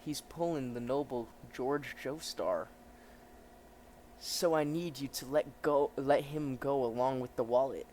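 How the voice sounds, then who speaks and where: A man playing a polite, fearless young English gentleman with a hot temper from a comic, courteous but firm. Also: medium pitch, measured pace, a little hiss or hum, close to an everyday voice.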